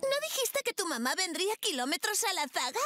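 A woman's voice: very high-pitched, sing-song, fairly quick, loud music behind the voice. An actress voicing an honest, complaining young girl in a dubbed children's cartoon.

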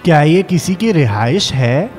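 Woman voicing a forlorn, low-voiced young male duckling. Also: lively intonation, darkish tone, faint music behind. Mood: dejected, timid. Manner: plaintive, forlorn. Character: sad, timid, rejected young male duckling.

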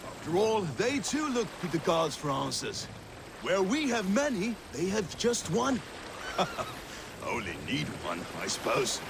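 Creaky-voiced, musing Viking storyteller. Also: male voice, high voice, huge pitch swings, full character voice. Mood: reflective, wondering. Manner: musing, deliberate. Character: reflective Viking warrior storyteller, curious and earnest. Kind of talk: dramatic storytelling in a video game. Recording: water and boat noise behind. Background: lapping water, boat ambience.

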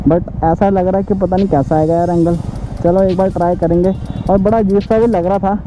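A man's voice: high-pitched, muffled recording. A young adult man chats casually and informally as a motorcycle vlogger, mixing in English words. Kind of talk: casual chat while riding. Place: outdoors, riding a motorcycle on city roads. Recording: helmet mic with clear road noise. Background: vehicle and road noise.

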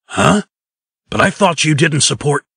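A man's voice: a little high, expressive, a little slow, gravelly, fairly bright tone, husky, no echo, clean studio sound, full character voice. A man voicing an agreeable, plain-spoken fairy-tale craftsman in a comic dub, earnest and deferential. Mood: surprised.

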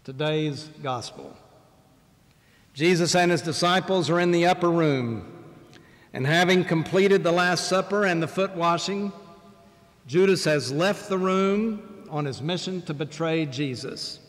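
Older male bishop, slightly high voice, measured pulpit delivery with long pauses, sanctuary reverb. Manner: measured, deliberate preaching with pauses. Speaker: bishop preaching. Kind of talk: sermon. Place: large church sanctuary, at a wooden pulpit. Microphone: pulpit microphone, far camera.

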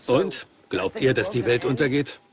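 A man's voice: mid-range voice, expressive, normal speed, lightly acted. A man speaking as a team member in a dubbed documentary conversation.

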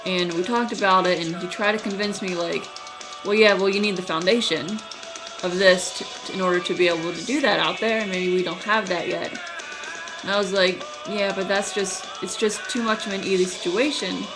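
A young woman in college who stutters, talking casually to her webcam in a small room, with halting speech, many fillers and restarts. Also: mid-range voice, steady tone, over music. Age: young adult. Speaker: vlogger. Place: small room at night, indoors. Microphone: webcam at close range.

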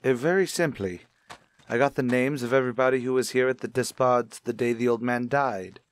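An actor playing a shrewd, probing police captain with a sardonic manner in a radio mystery. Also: male voice, mid-range voice, fairly even intonation, a little fast, lightly acted. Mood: brisk, probing. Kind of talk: retro radio mystery acting.

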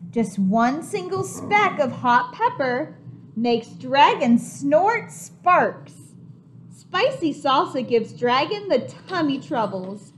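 A woman in her twenties, a preschool teacher reading a picture book aloud to small children in an animated, simply explaining way. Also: high voice, slow, a little hiss or hum. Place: home kitchen, indoors.

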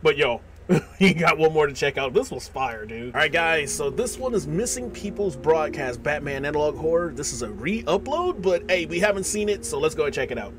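A young American man doing casual reaction commentary in a slangy, animated manner. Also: on the high side, moderate pace, background noise. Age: young adult. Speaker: reaction video host. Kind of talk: casual reaction commentary, reading captions aloud. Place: small indoor room. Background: music.

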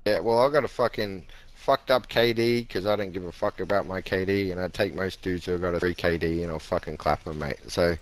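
A man's voice: low-pitched, brisk, few pauses, low-quality recording. A man in heated voice chat, aggrieved and swearing as he repeats himself over a headset. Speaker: stranger in game party chat.

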